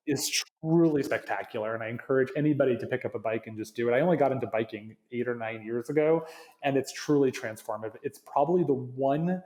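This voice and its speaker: A man's voice: medium pitch, darkish tone. A man with a slight Canadian accent touched with New England, a marketing executive chatting earnestly as a podcast guest, often restarting phrases. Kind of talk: casual interview chat.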